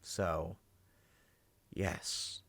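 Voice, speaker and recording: A man's voice: extremely slow, fairly bright tone, dry recording. A man giving casual reaction commentary as host, thinking aloud, swearing now and then and checking in with "right?". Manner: ends statements with "right?" as a check.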